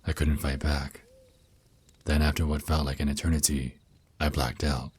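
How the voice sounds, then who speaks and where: A man playing a centuries-old vampire naga boyfriend, a tender mentor speaking slowly and soothingly in an intimate ASMR roleplay, with a very deep, husky voice. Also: little pitch movement, a little mellow, heavily acted. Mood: reminiscing, intimate. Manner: slow, soothing, teasing endearments.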